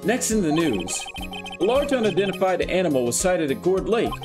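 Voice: mid-range voice, big pitch swings, normal speed, lightly acted. A reader voicing a formal TV news anchor in a game read-through, with music underneath. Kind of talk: mock news broadcast reading. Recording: background music.

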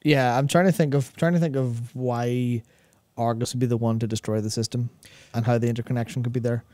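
A man's voice: medium pitch, steady tone, brisk, mellow, no room sound. A young man co-hosting a gaming talk show, thinking aloud in casual discussion and often repeating words as he goes. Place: small indoor studio with acoustic foam panels. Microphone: broadcast mic on a boom arm, close.

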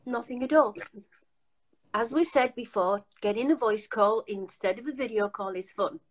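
An older woman hosts a casual video call from home, her voice sitting fairly high. She talks emphatically, often restarting her sentences as she presses a point. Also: moderate pace, low-quality recording. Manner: chatty, emphatic, many restarts. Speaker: host. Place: home room.

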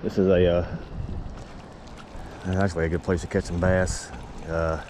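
A man's voice: deep voice, little pitch movement, unhurried, darkish tone. A man, talking casually to his action camera while fishing at a wooded lakeshore. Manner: laid-back, rambling, drawling asides. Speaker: fishing vlogger. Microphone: head-mounted action camera. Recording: clear wind noise on the mic. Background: wind.

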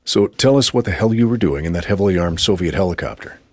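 An actor playing a stern, skeptical military officer in a video game, questioning a prisoner in a dry, formal way. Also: male voice, low-pitched, lively intonation, fast talker, a little mellow, slightly rough, no room sound, heavily acted.

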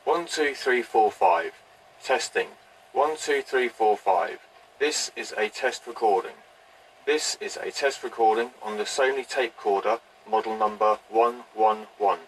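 A distant recording of a man with a fairly low voice. He speaks in a measured, matter-of-fact way, as in a scripted equipment demonstration. Faint noise sits on the reel-to-reel tape recording. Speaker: presenter demonstrating vintage audio equipment. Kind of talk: scripted test recording and product narration.

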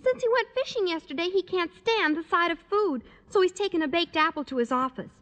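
A woman's voice: very high-pitched, animated, a little fast, fairly bright tone. A woman playing an earnest, anxious teenage schoolgirl in 1950s radio comedy style. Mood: eager, coaxing. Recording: noisy old radio recording.

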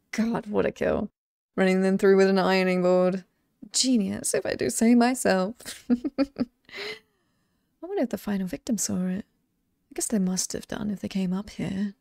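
A woman's voice: mid-range voice, creaky, a little mellow, no echo, studio quality. A British woman playing a cheerful, chatty slasher killer in an ASMR roleplay, teasing and casual with sweet menace. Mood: smug, musing. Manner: playful, casual, teasing, amused.

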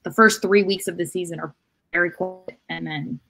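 A woman in her thirties co-hosting a sports podcast on a video call from home, with halting, hedged phrasing. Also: on the low side, fairly even intonation, creaky, bright, forceful tone, soft background music, low-quality recording. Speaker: podcast co-host. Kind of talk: casual sports discussion. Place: room at home, indoors.

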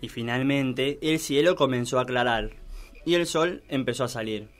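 A man's voice: mid-range voice, moderate pace. A man narrating a scripted mock children's tale as a storyteller.

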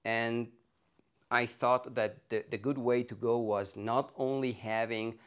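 A middle-aged man with an Italian accent, explaining technical things in an interview with hesitant, halting phrasing. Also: medium pitch, fairly even intonation, a bit bright, smooth, highs cut off. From Italy. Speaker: loudspeaker designer being interviewed. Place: carpeted home listening room, indoors. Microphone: clip-on lapel mic.

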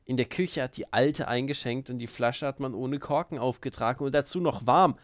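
A man voicing a mocking, spiteful, boastful young man in an audiobook, loud and needling. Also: mid-range voice, moderate pace, no room sound, clean studio sound, close to an everyday voice. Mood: irritated, loud.

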